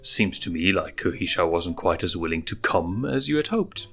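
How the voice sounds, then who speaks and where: A man voicing a calm, fatherly lion king with measured authority in a storybook reading. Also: low-pitched, creaky, soft background music, full character voice. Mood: welcoming.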